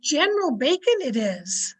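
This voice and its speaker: Woman playing a high-voiced, long-suffering wife. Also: big pitch swings, unhurried. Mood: correcting. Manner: polite, correcting. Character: patient but weary wife, politely correcting her husband. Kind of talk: old-time radio comedy acting. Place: at home on a video call.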